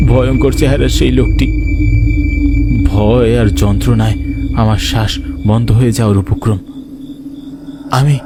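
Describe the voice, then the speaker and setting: A man's voice: on the low side, very noisy. A man telling a horror story in dramatic first-person narration.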